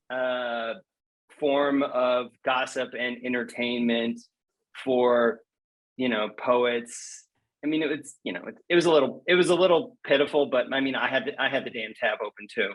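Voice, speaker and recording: A man's voice: medium pitch, measured pace, poor audio. A man speaking frankly and informally as a guest in a casual interview, with a wry way of putting things. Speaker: guest poet.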